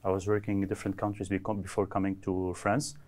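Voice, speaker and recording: A man from Lebanon, an engineer answering interview questions in a measured way, often restarting phrases. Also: low voice, little pitch movement, a little mellow. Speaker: MBA graduate, engineer.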